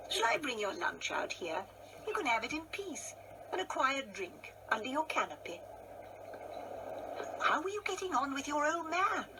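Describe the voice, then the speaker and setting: A woman acts out a solicitous wife in an absurdist radio play. Her voice is high and very varied in melody, coaxing and reassuring as she gently manages her husband. Clear noise runs under the recording. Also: sing-song, normal speed, pauses often. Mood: soothing, cheerful. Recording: noisy audio with background ambience.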